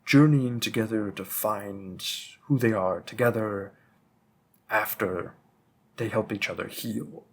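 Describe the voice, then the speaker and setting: A man's voice: fairly low pitch, breathy edge, exaggerated voice. A man playing a patient, bookish vampire bookseller with a hint of menace, musing hesitantly in a conversational roleplay audio drama. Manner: musing, hesitant, deliberate, with frequent pauses.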